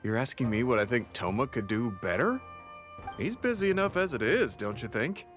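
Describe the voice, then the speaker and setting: A man's voice: mid-range voice, lively intonation, moderate pace, fairly bright tone, close to an everyday voice. A man playing a frank, fair-minded colleague in a video game, talking in a matter-of-fact way. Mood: frank, accepting. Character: frank, relaxed male commission colleague, tolerant and fair-minded. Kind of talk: video game dialogue acting. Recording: game music behind the voice.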